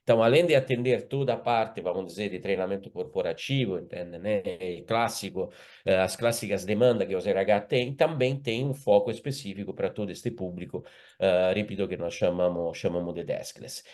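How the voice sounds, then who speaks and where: A man's voice: deep voice, moderate pace, a little mellow. A man with an Italian accent, a company executive presenting his firm formally in a webinar, with some hesitant fillers, speaking over a video call. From Italy.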